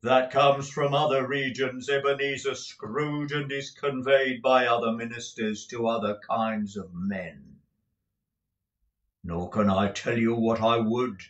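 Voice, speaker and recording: A man voicing a doomed ghost in chains, speaking slowly and gravely. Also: medium pitch, fairly even intonation, a bit bright. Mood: solemn. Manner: slow, drawn-out, grave delivery. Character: doomed male ghost in chains, solemn and mournful.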